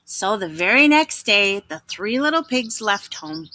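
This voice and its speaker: A woman storyteller giving an expressive, dramatic read-aloud narration for children. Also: medium pitch, unhurried, a little hiss or hum.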